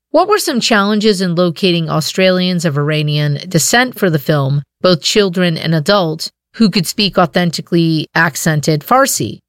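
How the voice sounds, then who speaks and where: A woman's voice: lowish voice, clean tone, no room sound, clean studio sound. A woman hosting a radio interview show, reading scripted introductions and questions.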